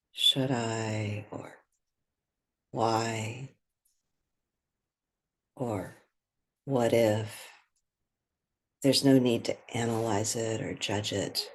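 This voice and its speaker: A meditation guide speaks very slowly and gently, leaving long pauses between short phrases. The voice is soft and husky with plenty of breath in it, at a medium pitch, leading a guided meditation.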